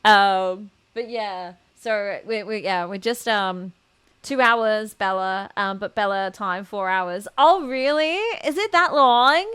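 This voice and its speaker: A woman's voice: mid-range voice, slow, fairly bright tone, clear, resonant tone, no echo. A young woman streaming a game with casual live commentary, gushing, exclaiming and giggly in manner.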